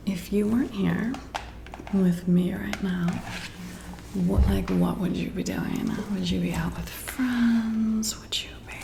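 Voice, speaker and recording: A woman speaking in a soft, breathy whisper in an intimate ASMR roleplay, addressing the listener in a flattering way as a friendly boss. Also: mid-range voice, background noise. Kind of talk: soft-spoken ASMR roleplay, one-sided intimate chat.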